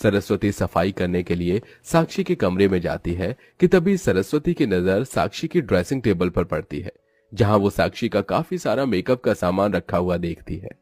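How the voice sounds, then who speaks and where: Man, scripted storytelling narration, lowish pitch, lively melody, dry close sound. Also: moderate pace, no room sound, soft background music.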